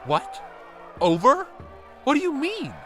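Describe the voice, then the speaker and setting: A man's voice: very high voice, huge pitch swings, takes it slowly, music in the background, full character voice. A man playing a young, war-weary American soldier in a family audio drama, speaking in an earnest, boyish way. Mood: anxious. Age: young adult.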